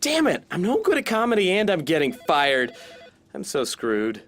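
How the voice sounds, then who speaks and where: An actor playing an anxious, self-doubting copywriter in a scripted workplace comedy, with a nervy, fretful manner. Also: male voice, high-pitched, wildly swooping pitch, moderate pace, fairly bright tone, soft background music, heavily acted. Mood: panicked. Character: anxious, self-doubting male copywriter and failed stand-up comic.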